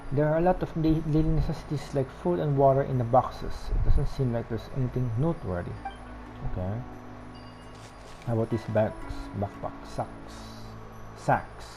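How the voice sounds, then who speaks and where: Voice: mid-range voice, moderate pace, music in the background, rough recording. A Filipino-accented streamer giving casual game commentary, reading lines aloud, often correcting themself and making giggly asides. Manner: self-correcting, apologetic about pronunciation, giggly asides. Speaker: gameplay streamer.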